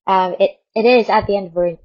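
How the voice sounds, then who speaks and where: A woman from Thailand presents a radio update in a casual, conversational style. Her voice sits at a middling pitch, and she rambles a little, repeating words to stress them. The audio is somewhat dull and lo-fi. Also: steady tone, a little fast. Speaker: radio host.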